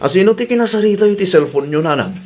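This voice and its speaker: A man's voice: sits high, lively intonation, fast, soft background music. A man with an Ilocano Filipino accent playing a helpful, dutiful grown son in a radio drama. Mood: concerned, helpful.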